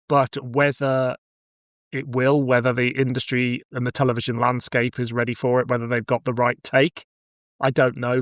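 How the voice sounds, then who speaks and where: A man's voice: mid-range voice, fairly bright tone, no room sound, clean studio sound. A man hosting a podcast, talking in a casual, thoughtful, conversational way. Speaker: podcast host. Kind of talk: casual discussion of cult TV and audio drama.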